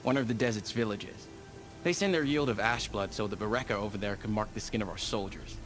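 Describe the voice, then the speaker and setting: A man's voice: on the low side, brisk, music in the background, natural voice. A man playing a grave, clipped soldier. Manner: clipped, serious. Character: grave male soldier contact, worried. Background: game score.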